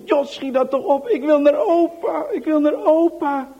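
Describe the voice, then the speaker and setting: A man's voice: very high voice, expressive, unhurried, lo-fi sound, voice pushed up. A man reading a story aloud, voicing a frightened small boy who pleads and whimpers. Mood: scared pleading.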